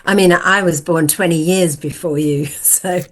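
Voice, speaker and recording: A woman in her seventies talks in a very low voice as a podcast guest. She tells her stories in a reflective, unhurried, conversational way. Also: steady tone. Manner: reflective, storytelling. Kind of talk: casual conversational interview.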